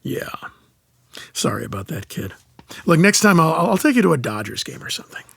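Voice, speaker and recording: A man's voice: a little high, very animated, fairly quick, vocal fry, fairly bright tone, breathy edge, dry recording, studio quality, exaggerated voice. An actor playing a sardonic, exasperated older man in an audio comedy drama, grumbling with an American accent. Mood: subdued, wry. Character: gruff, exasperated old-school older man teaching a kid.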